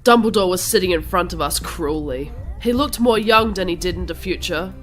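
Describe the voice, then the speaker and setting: A woman narrates in the dramatic voice of a melodramatic teenage goth girl. It is a comedic fan fiction read for a cartoon, with music clearly playing behind her. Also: on the low side, a little fast, fairly bright tone. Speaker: narrator and lead voice.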